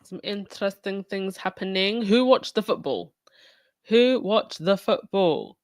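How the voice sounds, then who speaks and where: A woman's voice: mid-range voice, expressive, measured pace, no room sound. Sniffly woman chatting with a cold. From England. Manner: chatty, with many fillers and restarts. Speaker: podcast host. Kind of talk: casual commentary on a livestreamed podcast.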